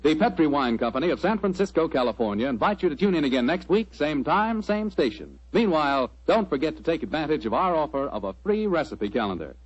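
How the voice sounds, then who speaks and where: A man's voice: fairly high pitch, big pitch swings, fairly quick, hardly any pauses. An American man announcing in 1940s radio style, with folksy, salesmanlike advertising patter. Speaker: radio announcer and sponsor pitchman. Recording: dull, lo-fi 1940s radio recording.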